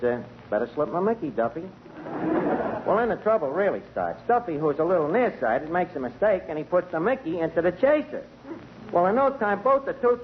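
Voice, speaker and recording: High-pitched New wise guy barkeep. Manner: streetwise, mangles grammar and big words. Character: wisecracking, malapropism-prone male tavern manager. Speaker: comic lead of a radio sitcom. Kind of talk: 1940s radio comedy acting. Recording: muffled, noisy 1940s radio recording.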